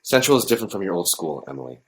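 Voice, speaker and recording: Male school official, deep voice, cautious, placating, audio drama. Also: big pitch swings, rapid-fire, a little hiss or hum. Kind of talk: dramatized podcast acting.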